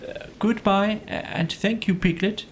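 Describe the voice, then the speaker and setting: A modest, good-natured storybook bear , done by a man, talks gently and simply. His words come haltingly, in a somewhat high voice. There is ambient noise behind him. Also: steady tone. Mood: hesitant. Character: kindly, simple, modest male toy bear.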